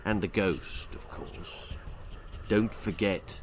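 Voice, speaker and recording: A man reading a children's comic horror story aloud in a theatrical, suspenseful, deliberate storytelling style. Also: fairly low pitch, fairly even intonation, slight background noise. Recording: music behind the voice. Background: music.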